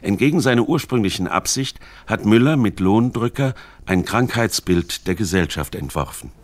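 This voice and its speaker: A man narrating a scripted radio documentary in a measured, formal, articulate way. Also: on the low side, lively intonation.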